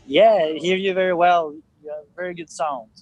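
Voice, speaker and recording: A man calling into a group video chat from a bus on the road, talking haltingly with many fillers. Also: high-pitched, steady tone, no echo, lo-fi sound. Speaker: discussion group member. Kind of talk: casual video call chat. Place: on a bus while traveling.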